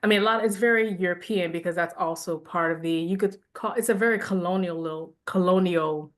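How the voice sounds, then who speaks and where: A woman with a slightly low voice giving a loose, conversational lecture to a class. She talks in an animated way, picks up speed when a point excites her and laughs now and then. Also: brisk. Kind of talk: casual spoken lecture.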